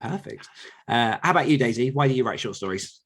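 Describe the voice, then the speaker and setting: A man's voice: medium pitch, animated, fast, fairly bright tone. A man hosting a podcast, asking guests questions in a casual interviewing style. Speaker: podcast host.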